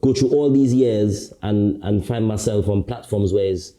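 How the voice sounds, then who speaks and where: A man guesting on a podcast, telling his story in relaxed street slang with many "you get me"s. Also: on the low side, measured pace. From Caribbean. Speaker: guest, artist turned talent manager. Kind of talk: casual podcast interview.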